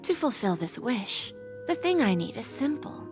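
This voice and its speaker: A woman voicing a mysterious, seductive businesswoman in a video game, teasing and unhurried, often dropping to a breathy near-whisper. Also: fairly high pitch, sing-song. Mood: composed, teasing. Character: mysterious, seductive, unhurried businesswoman who grants wishes at a price. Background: background music.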